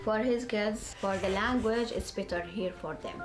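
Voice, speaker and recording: A woman interpreting interview answers, speaking haltingly with pauses and fillers. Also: mid-range voice, music in the background. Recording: echoey room.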